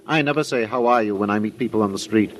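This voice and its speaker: An actor as a formal, faintly menacing dream clerk. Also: male voice, lowish voice, quick pace, a little hiss or hum, lightly acted, poor audio. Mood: brisk, officious. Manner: formal, clipped, repetitive. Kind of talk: old-time radio drama acting. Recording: old radio broadcast with faint music.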